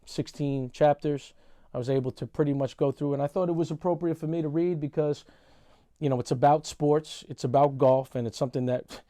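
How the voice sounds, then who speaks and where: Laid-back man vlogging, warm voice. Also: medium pitch, no room sound, studio quality. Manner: relaxed, conversational, says "y'all" often. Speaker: podcast host and referee. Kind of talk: casual vlog monologue.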